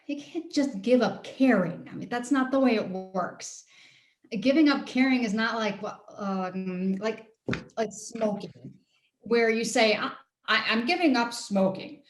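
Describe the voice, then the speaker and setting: Actress as a caring, pleading friend, highish voice, halting delivery, echoey room on a video call. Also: female voice, a little hiss or hum, lightly acted. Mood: exasperated, earnest. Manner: halting, searching for words, sighing. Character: earnest, caring woman pleading with a cynical friend. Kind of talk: stage-play reading over video call. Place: roomy space, live Zoom call. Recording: roomy echo on video call.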